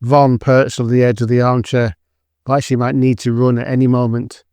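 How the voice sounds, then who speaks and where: A man's voice: medium pitch, flat delivery, moderate pace, darkish tone, clean tone, no echo. A man giving scripted dramatic narration for an audio drama.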